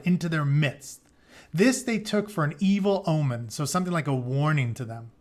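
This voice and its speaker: Man, high-pitched voice, teacher's read-aloud, dramatic storytelling, casual explanatory asides. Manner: dramatic reading broken by plain informal paraphrase.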